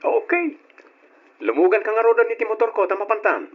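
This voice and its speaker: A man's voice: high voice, wildly swooping pitch, fairly quick, slight background noise, full character voice. A man playing a sweet-talking young suitor. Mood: playful. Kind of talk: old radio drama acting. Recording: dull lo-fi old radio recording.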